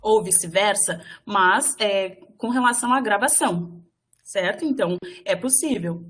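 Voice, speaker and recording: A woman interpreter giving live voice to a signed lecture, speaking in halting phrases with frequent fillers and restarts. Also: medium pitch, fairly bright tone, slightly rough. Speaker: sign language voice interpreter for a workshop.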